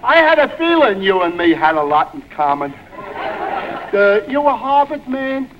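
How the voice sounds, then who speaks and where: An actor in a 1940s radio comedy playing a dim-witted, friendly man who talks haltingly with poor grammar. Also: high voice, sing-song. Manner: halting, ungrammatical, hesitant. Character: dim-witted, friendly, slow-thinking barfly pal. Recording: dull, noisy vintage radio recording.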